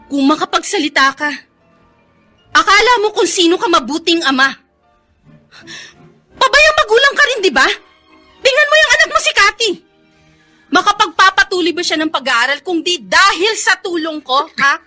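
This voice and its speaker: An actress playing a concerned, reproachful Filipino younger sister in a radio drama, speaking in an emphatic, pleading way. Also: female voice, very high-pitched, expressive, moderate pace, long pauses, bright, forceful tone, soft background music, full character voice. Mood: indignant.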